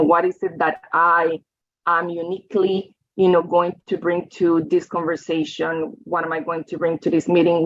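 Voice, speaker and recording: A woman's voice: fairly low pitch, fairly bright tone, no echo. A woman, giving a reflective talk over slides and often checking in with "right?" and "you know". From Colombia. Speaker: guest speaker. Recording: dry, slightly dull audio.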